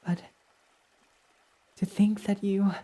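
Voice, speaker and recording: A man voicing a devoted, submissive fox boy servant in an intimate ASMR roleplay, reverent, hesitant and breathy. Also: sits high, completely flat delivery, slow pace. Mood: awed, yearning. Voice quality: soft, breathy. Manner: reverent, self-deprecating, hesitant, breathy half-whispers.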